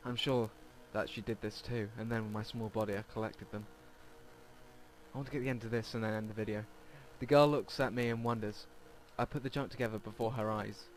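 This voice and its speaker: A man's voice: on the low side, fairly even intonation, fairly quick, rough recording. A man hosting a let's play, reading visual novel text aloud and stumbling over words now and then. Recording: game music behind the voice. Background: game music.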